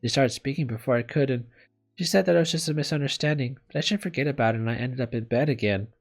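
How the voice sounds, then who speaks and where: A man's voice: mid-range voice, fast, soft background music, close to an everyday voice. A man voicing a small, weary, caring woman teacher from a visual novel, subdued and reflective.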